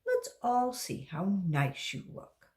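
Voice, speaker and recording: A woman's voice: mid-range voice, wildly swooping pitch, slow, a little mellow. A woman voicing a patient, primly polite but exasperated schoolteacher in a children's storybook. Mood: coaxing.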